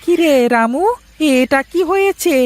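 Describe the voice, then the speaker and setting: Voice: sits high, animated, unhurried, a little hiss or hum. A performer voicing a nagging village shopkeeper in a cartoon, scolding and chiding as he demands money. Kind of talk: cartoon voice acting.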